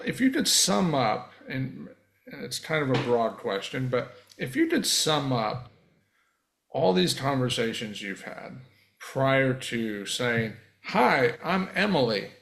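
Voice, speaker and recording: Gravelly-voiced male podcast host. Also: mid-range voice, sing-song, slow, a bit bright. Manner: gravelly, affirming, long rambling questions. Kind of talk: interview host.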